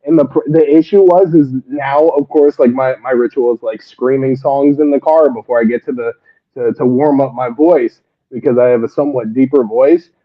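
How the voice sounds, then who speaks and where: A man's voice: medium pitch, steady tone, moderate pace, phone-quality sound. Man telling rambling stand-up stories. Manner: laughs often, rambling storytelling. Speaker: guest comedian. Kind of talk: casual interview chat.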